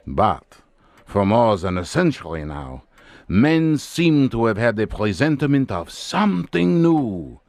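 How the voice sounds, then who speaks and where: An actor playing a self-assured French doctor and hypnotist in a radio drama, measured, theatrical and commanding. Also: male voice, lowish voice, darkish tone, no room sound.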